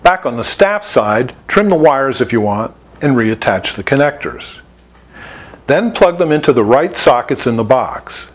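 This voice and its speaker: A man with a medium-pitched voice narrates a scripted tutorial. His intonation moves up and down in a lively way as he lays out plain, step-by-step instructions in the role of an instructor. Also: a little slow. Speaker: instructor presenting an installation tutorial.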